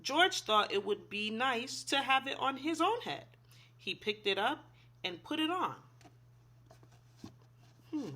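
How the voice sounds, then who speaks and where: A woman's voice: sits high, expressive, slow pace, long pauses, bright, forceful tone. A woman reading a children's picture book aloud expressively, in an instructive way, asking young listeners simple questions. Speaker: read-aloud storyteller, teacher.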